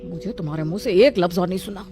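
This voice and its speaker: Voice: medium pitch, quick pace, a little mellow, slight background noise, natural voice. A performer voicing an arrogant, scornful young husband who talks harshly and haughtily in a storybook drama. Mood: angry.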